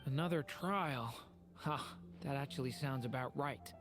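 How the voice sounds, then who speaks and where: An actor playing a gentle, earnest young male dragon in human form in a video game, polite and soft-hearted. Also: mid-range voice, unhurried, close to an everyday voice. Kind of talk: video game character acting. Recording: game music behind. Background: game music.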